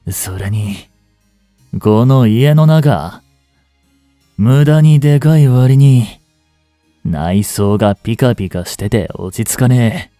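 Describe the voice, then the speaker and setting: An actor playing a rough young street punk working as a butler in an audio drama, blunt and teasing, with a grudgingly tender side. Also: male voice, medium pitch, dark tone, dry recording, studio quality. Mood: grumbling. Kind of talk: intimate Japanese audio drama acting addressed to the listener.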